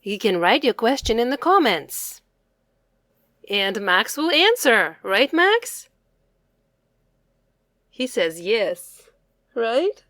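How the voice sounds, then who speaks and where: A woman presenting a quiz for young children, asking questions and answering them in a deliberate, carefully enunciated, teacherly manner. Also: on the high side, big pitch swings, fairly bright tone, dry recording, studio quality.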